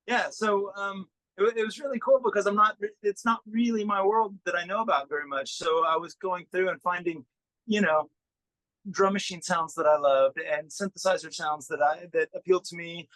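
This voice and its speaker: A man talks casually as an interview guest, thinking aloud in a halting, searching way. His voice sits a little high and has a bright, forward edge. The sound is close and dry, as a musician explains his own work. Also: fairly even intonation, no room sound, rough recording.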